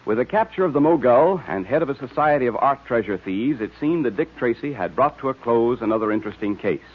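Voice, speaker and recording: A man's voice: mid-range voice, expressive, quick pace. A man announcing and narrating in the style of a 1940s radio serial, with an emphatic, salesmanlike delivery. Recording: tinny old radio recording.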